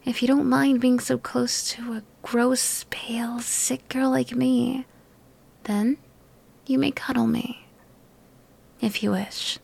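A young woman whispers slowly and softly, all affection, sounding sick and worn out. Her breathy voice sits a little high and carries a gravelly edge. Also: flat delivery. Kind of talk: whispered ASMR girlfriend roleplay.

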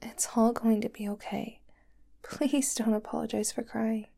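Woman voicing a sweet creepy captor gf, whispery and mellow, talks real slow, kinda higher pitched. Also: little pitch movement, vocal fry. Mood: soothing. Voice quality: soft and whispery. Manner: sweet, clingy, coaxing. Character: doting possessive young woman holding a lover captive. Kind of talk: roleplay audio drama to the listener.

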